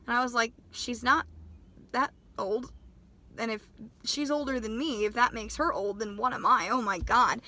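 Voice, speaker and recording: Bright-voiced woman in her twenties. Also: a little high, moderate pace. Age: 20s. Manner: chatty and self-deprecating, laughs at herself. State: recovering from a sinus infection, voice not back to normal. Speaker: cosplay vlogger. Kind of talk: casual vlog chat.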